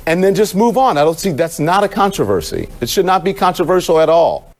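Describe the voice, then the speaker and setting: An American man argues as the host in a televised panel debate. His voice sits a little high for a man. He is insistent and emphatic, returning to the same point again and again. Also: lively intonation, brisk.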